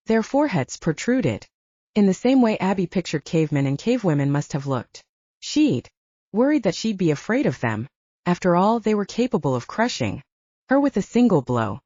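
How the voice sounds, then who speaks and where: A woman's voice: fairly low pitch, warm tone, no echo, muffled recording. A woman reading fiction aloud as a scripted audiobook narration.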